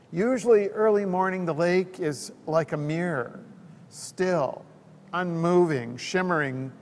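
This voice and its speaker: An older man, a pastor in his sixties or beyond, delivering a sermon in a measured, reflective, reverent way at a church lectern microphone. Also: a little high. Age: 60s or older. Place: indoor church, at a pulpit. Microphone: gooseneck lectern microphone. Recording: long echoing hall reverb.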